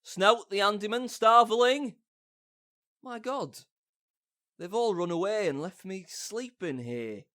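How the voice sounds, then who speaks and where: Man acting a bombastic fool, wildly animated. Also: sits high, exaggerated voice. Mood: bewildered, calling out. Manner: theatrical, bumbling, halting. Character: foolish, bombastic male amateur actor waking bewildered. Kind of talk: comic audio drama monologue.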